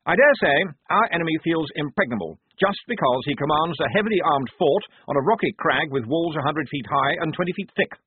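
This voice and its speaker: A man's voice: a little high, lively intonation, a little fast, few pauses, a little hiss or hum, voice pushed up, natural voice. A man voicing a pompous, earnest young army lieutenant who speaks formally and precisely. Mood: confident. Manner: formal, precise officer's speech. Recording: tinny phone-like dry recording.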